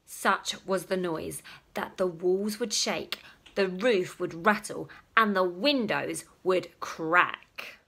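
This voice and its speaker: A woman reading a children's story aloud with dramatic, emphatic storytelling and drawn-out words. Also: on the low side, vocal fry, background noise. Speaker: storybook reader. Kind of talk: expressive children's story narration.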